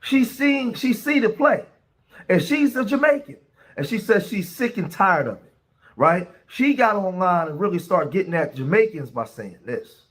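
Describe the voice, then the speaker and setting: A male host with a fairly high voice and a bouncing, animated delivery. He speaks in a loose commentary style and keeps turning to his listeners for agreement. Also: normal speed. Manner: animated, asks the audience "right?" often. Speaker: podcast host. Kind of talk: casual commentary on online clips.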